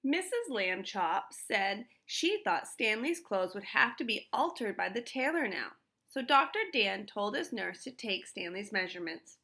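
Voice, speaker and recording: A woman reading a children's storybook aloud in an expressive style. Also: mid-range voice, a little slow. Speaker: storybook reader.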